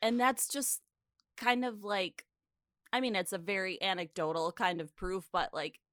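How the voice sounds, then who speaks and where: A woman's voice: medium pitch, fairly even intonation, measured pace, a bit bright, no room sound, clean studio sound. A woman co-hosting a podcast, chatting casually and reflectively, leaning on her words for emphasis. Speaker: podcast co-host.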